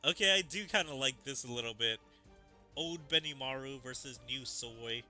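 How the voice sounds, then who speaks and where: A man's voice: mid-range voice, moderate pace, bright, forceful tone. A man casually commenting while playing a game, musing aloud with many fillers. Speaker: streamer. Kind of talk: gameplay commentary. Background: game music.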